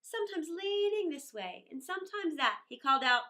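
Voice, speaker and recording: A woman reading a children's story aloud in an expressive, dramatic way, with musing asides and pauses. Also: extremely high pitch.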